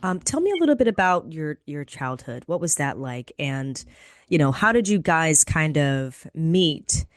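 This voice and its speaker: A woman's voice: low voice, sing-song, a little slow, no echo, clean studio sound. A woman hosting an interview, asking long rambling questions and affirming answers. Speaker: interview host. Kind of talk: podcast interview.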